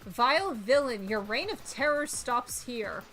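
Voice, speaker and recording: Woman voicing an earnest, bright-voiced dreamer chef hero. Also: on the high side, animated, unhurried, soft background music. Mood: heroic.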